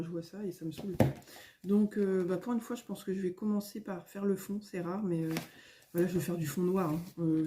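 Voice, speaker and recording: A middle-aged woman, a little hoarse, chatting casually at home in a vlog, rambling with many fillers and restarts. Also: medium pitch, warm tone, a little hiss or hum. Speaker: coloring vlogger. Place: at home, indoors.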